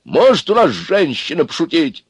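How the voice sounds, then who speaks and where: A man's voice: on the high side, big pitch swings, normal speed, breathy edge, no echo, pitched above the performer's own voice. A man voicing a domineering, blustering middle-sized bully of an interrogator in a story, barking and lecturing insistently. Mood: commanding, insistent.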